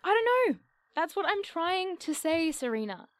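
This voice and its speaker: A woman's voice: very high-pitched, sing-song, normal speed, no echo. A woman playing an eager, curious young adventurer in an audio drama, chirpy, precise and wordy as she persuades. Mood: insistent, curious. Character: eager, curious young woman, nerdy cryptid-chasing road-tripper. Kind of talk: audio drama acting, naturalistic banter.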